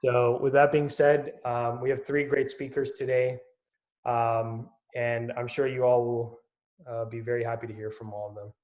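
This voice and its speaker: A man's voice: medium pitch, flat delivery, moderate pace, a little mellow. A man moderating a panel for students, in a casual way with many fillers. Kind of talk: hosting an online panel.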